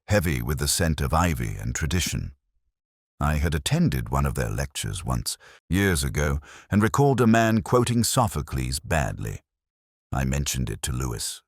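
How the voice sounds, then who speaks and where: A man playing a melancholy middle-aged English police detective, narrating in a measured, literary and wistful way as if from a notebook. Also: a bass voice, dry recording, studio quality, full character voice. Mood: brooding. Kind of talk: scripted first-person dramatic narration.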